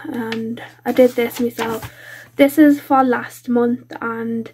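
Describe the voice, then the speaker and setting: Congested British woman, slightly husky high voice. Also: a little mellow. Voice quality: congested, nasal. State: bunged-up nose from a cold. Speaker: colouring channel host. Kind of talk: casual chatty craft vlog.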